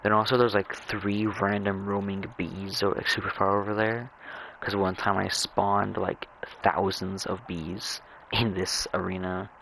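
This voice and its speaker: A young man chatting casually and rambling over his gameplay, halting and stammering as he repeats words. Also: deep voice, flat delivery, vocal fry, a bit bright, slight background noise, rough recording. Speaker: gamer narrating own gameplay.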